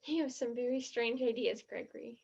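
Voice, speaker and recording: A woman's voice: a little high, a little fast, vocal fry, darkish tone, breathy edge. An actress in a stage play as a blunt, skeptical, dramatic teenage girl, in a slightly muffled recording. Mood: embarrassed, skeptical.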